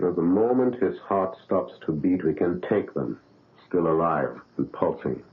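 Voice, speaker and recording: A man acting a grave older doctor and father with solemn, halting delivery in a 1970s radio drama. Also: low voice, expressive. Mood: solemn, persuasive. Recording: muffled, tinny vintage radio broadcast.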